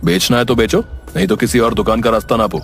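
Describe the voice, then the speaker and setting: Animated tale casting: male actor as a hard-bargaining gold merchant, blunt and suspicious, faint music bed. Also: medium pitch, quick pace, gravelly. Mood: suspicious, dismissive. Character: shrewd, hard-bargaining goldsmith shopkeeper. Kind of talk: Hindi animated moral story dialogue.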